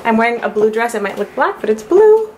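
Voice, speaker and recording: A young woman chatting casually to a handheld vlog camera, excited and gushing. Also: on the high side, fast, slight background noise. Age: young adult. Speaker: vlogger. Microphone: handheld camera at arm's length. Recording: loud music over the talk. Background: loud music.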